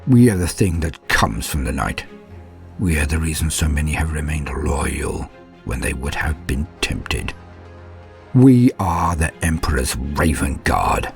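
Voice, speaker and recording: Deep-voiced man narrating grimly. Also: creaky. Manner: grim, measured, dropping to hushed delivery. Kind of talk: scripted fiction narration. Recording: faint music underneath.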